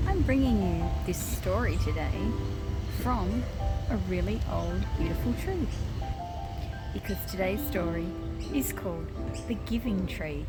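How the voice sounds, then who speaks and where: A woman reading a children's picture book aloud as host, expressively, with short explanatory asides. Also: mid-range voice. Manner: expressive, storytelling. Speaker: storybook reader and host.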